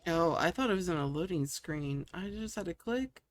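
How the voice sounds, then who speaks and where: A woman's voice: lowish voice, animated, moderate pace, no echo. A woman game streamer chatting casually and playfully to herself in a rambling way. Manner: rambling, playful self-talk. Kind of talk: casual gameplay chat.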